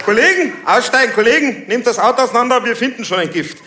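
A man's voice: very high voice, sing-song, moderate pace, pushed and bright, pitched above the performer's own voice, heavily acted. A male stand-up comic voicing a bossy, suspicious policeman with a Bavarian accent, drawling and barking in a sneering way. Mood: barking orders. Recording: roomy live stage with noise.

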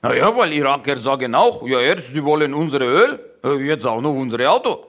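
A man's voice: medium pitch, big pitch swings, a little fast, heavy background noise. A man playing a broken-German speaker who mocks world politics in a comedy monologue, leading every line with "ja". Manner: broken grammar, starts each line with "ja".

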